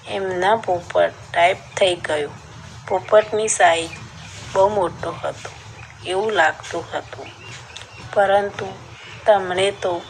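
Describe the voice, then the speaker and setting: A woman's voice: on the low side, a little hiss or hum, rough recording. A woman reading a scripted first-person story as a narrator.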